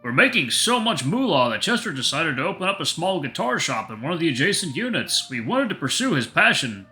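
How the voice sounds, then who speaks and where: Man putting on a chatty, animated cafe owner. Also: fairly high pitch, pitched above the performer's own voice, heavily acted. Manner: folksy, chatty. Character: friendly, chatty male cafe owner and baker, proudly reminiscing.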